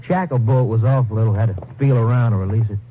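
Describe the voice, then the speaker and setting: An American actor playing an obliging, casual police lab technician in a 1950s radio drama. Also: male voice, fairly low pitch, quick pace, warm tone, lightly acted. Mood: casual, cooperative. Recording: noisy old 1950s radio recording.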